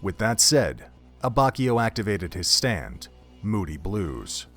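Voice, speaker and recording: Male story narrator, fairly low voice, measured and literary, music bed. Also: very animated, a bit bright. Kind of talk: scripted story narration for an audio drama. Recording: background music under the voice.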